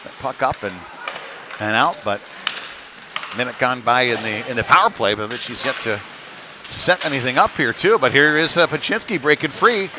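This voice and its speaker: A man giving halting, conversational live play-by-play of a youth hockey game in a folksy manner. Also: medium pitch, lively intonation. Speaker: play-by-play commentator. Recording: noisy live rink stream.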